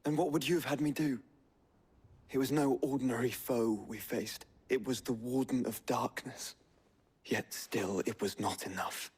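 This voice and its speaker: An actor playing a weary, self-reproachful young nobleman in a fantasy game, formal and intense, with a breathy voice. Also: mid-range voice, unhurried, darkish tone. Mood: frustrated. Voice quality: husky, breathy. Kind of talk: dramatic video game acting.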